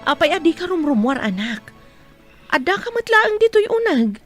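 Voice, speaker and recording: An actress plays a protective mother in a radio drama. Her high voice is gentle and reassuring, as if for a small child. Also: very animated, moderate pace, faint music behind. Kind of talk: Ilocano radio drama acting.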